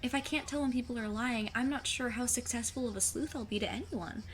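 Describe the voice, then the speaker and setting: A woman's voice: fairly high pitch, fairly quick, lightly acted. A woman playing an earnest, blunt fifteen-year-old girl sleuth in an audio drama, speaking in formal, precise phrases. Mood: self-doubting.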